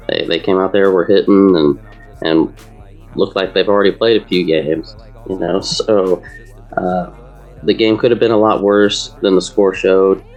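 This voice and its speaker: Low-voiced man with flat delivery. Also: muffled recording. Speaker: sports show panelist. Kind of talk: casual game analysis.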